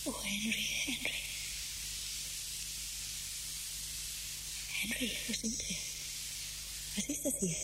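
An actress in a German radio drama playing an anxious, frightened woman who pleads and frets in halting, whispery phrases. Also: fairly high pitch, lightly acted. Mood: bewildered, alarmed. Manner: halting, breathy whispering when afraid, pleading. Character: frightened, anxious female companion, fretting and pleading. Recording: clearly noisy, hissy radio play recording.

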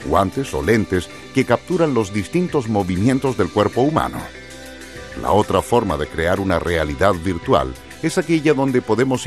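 Low male narrator, formal explainer for educational radio, faint music behind.

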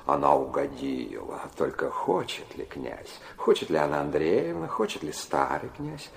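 Brazen middle-aged intriguer, radio play. Rock-bottom creaky voice, wildly swinging intonation, insinuating questions. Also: male voice, a bass voice, very animated, measured pace, heavily acted. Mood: sly, insinuating. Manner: wheedling, prodding with short repeated questions. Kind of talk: radio drama acting.

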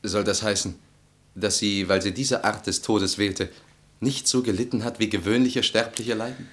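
An actor playing a troubled, earnest, hesitant husband in a radio play, speaking formally in halting phrases. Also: male voice, fairly low pitch, steady tone, a bit bright. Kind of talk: German radio play acting.

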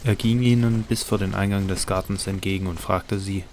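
Deep, even-toned male narrator. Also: a little fast, slight background noise. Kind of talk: scripted Bible audio drama narration.

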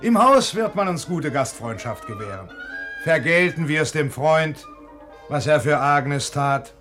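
A man playing a composed, kindly gentleman uncle in a classical verse comedy, declaiming in measured verse. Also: a little high, expressive, soft background music. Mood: delighted.

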